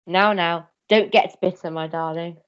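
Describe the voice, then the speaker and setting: A woman's voice: on the low side, fairly even intonation, unhurried, clear, resonant tone, close to an everyday voice. Woman playing a placating, patronising father, muffled. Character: placating, patronising middle-aged father of a young bride. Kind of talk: comic melodrama radio play.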